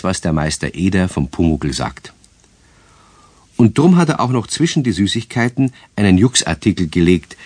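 A man's voice: low voice, darkish tone, slightly rough, no room sound, exaggerated voice. A man narrating a children's radio play as a scripted storyteller.

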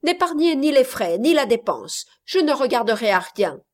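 Woman doing a loud blustering miserly old father. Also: on the high side, very animated, moderate pace, voice pushed up. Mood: insistent. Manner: loud, insistent, exclaiming.

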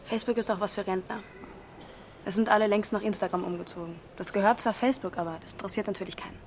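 A woman's voice: medium pitch, fairly even intonation, fast talker, slight background noise, natural voice. An actress playing a cool, shrewd woman in her twenties in a radio drama, direct and challenging in natural conversation. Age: 20s.

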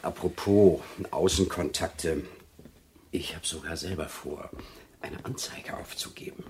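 A cultivated, middle-aged convict with a fairly low voice in a radio play. He speaks courteously and flatteringly, pausing as if earnestly moved, every bit the smooth seducer. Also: slight background noise. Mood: confiding. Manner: courteous, flattering, earnest pleading with hesitant pauses. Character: cultivated, smooth-talking male prison inmate and charming seducer. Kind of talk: German radio drama acting.

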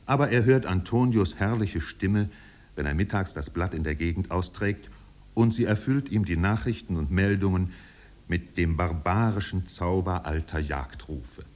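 A male actor playing a wry, poetic narrator in a 1950s German radio drama, with deliberate literary phrasing. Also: sits low, darkish tone, natural voice. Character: wry, poetic storyteller observing islanders.

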